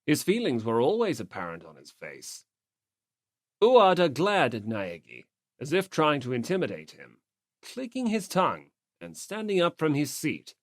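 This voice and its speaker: A man reading a story aloud as an audiobook narrator, in expressive scripted narration. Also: a little high, moderate pace, no echo, studio quality.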